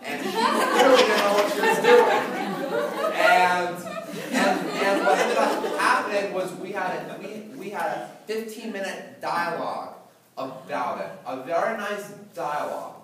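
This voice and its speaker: A man's voice: high voice, expressive, a little slow, over a noisy crowd. A teenage boy who stutters, giving a prepared talk to an audience, with repeated words and blocks. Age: teens. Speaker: student speaker. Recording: echoey hall, voice from far off.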